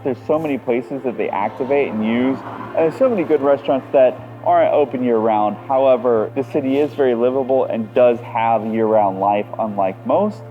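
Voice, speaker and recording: A man's voice: mid-range voice, narrow, tinny audio. A man from the United States chatting casually in a travel vlog, talking easily and conversationally, recorded outdoors at a harbor. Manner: easygoing, conversational, loose with fillers. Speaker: vlogger.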